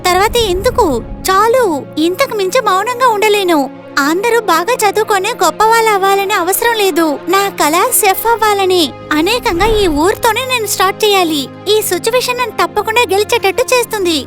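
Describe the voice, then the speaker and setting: A woman voicing a determined, spirited young daughter in an animated moral story. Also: very high voice, a little fast, soft background music. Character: determined, spirited young daughter who dreams of being a chef.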